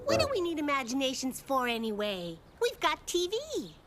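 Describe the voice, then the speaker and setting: A woman voicing a quick-witted, mischievous little kid in a children's cartoon. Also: high-pitched, huge pitch swings, over music. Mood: bored, sardonic.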